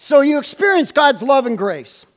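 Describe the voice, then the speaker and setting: A man preaches a sermon in a high, ringing voice, loud and emphatic. He presses his questions again and again and slips in playful asides between points. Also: very animated, moderate pace. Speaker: pastor.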